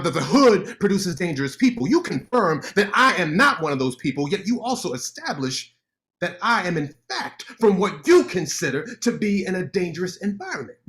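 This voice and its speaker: A man playing a scornful sharp-tongued lawyer. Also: high-pitched. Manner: sarcastic, cutting, confrontational. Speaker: actor in a staged reading. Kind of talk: staged play reading.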